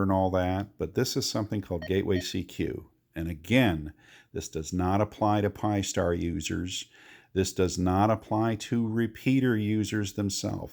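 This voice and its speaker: A man's voice: lowish voice, gravelly, darkish tone. A man giving a casual, folksy, hands-on tutorial as a radio hobbyist, explaining things conversationally.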